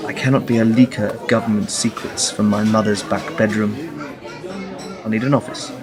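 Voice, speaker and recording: A man's voice: fairly low pitch, steady tone, moderate pace, over music, natural voice. A man acting a jaded, sceptical would-be leaker in a radio play, speaking in a challenging, sardonic way. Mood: wry, resigned.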